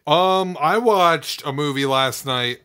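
Young man with a sore throat, animated. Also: a little high, takes it slowly, clean tone. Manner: animated, bursts into loud excited exclamations. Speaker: podcast co-host. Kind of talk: casual chat. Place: room with shelves of movies and comics.

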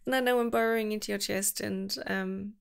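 A young woman doing a girlfriend roleplay to the listener, soft and affectionate, giggling and slipping into whispers. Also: medium pitch, steady tone, fairly quick, gravelly, smooth. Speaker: ASMR roleplay creator.

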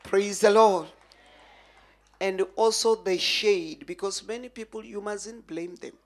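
A woman pastor preaching fervently into a handheld microphone at a large outdoor crusade, pausing line by line for an interpreter and rising to shouts. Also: medium pitch.

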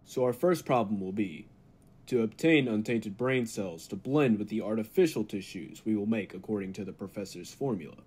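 An actor playing a grave, calculating laboratory assistant in an old-time radio drama, speaking formally with a husky voice. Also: male voice, lowish voice, expressive, normal speed, a little mellow. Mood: calm, businesslike.

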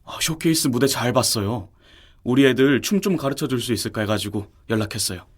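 A man's voice: medium pitch, expressive, brisk, husky. A man as a casual agency boss. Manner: casual and friendly. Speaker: agency executive.

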